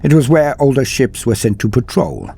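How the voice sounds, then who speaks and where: A man reading dramatic scripted war fiction as a storyteller, in a grave, measured delivery with deliberate pauses and falling phrase endings. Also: mid-range voice, creaky, mellow, slightly rough. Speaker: narrator of a fan-written war story. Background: music under the voice.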